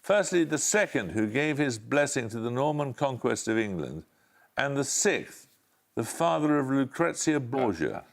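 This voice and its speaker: A male quiz host with a gravelly edge, reading each question briskly and with authority. His pitch rises and falls a lot, so every clue sounds lively.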